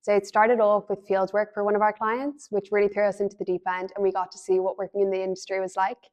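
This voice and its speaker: A woman's voice: medium pitch, little pitch movement, fast, darkish tone. A woman in her early twenties, a graduate student, giving a composed, straightforward testimonial. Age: 20s.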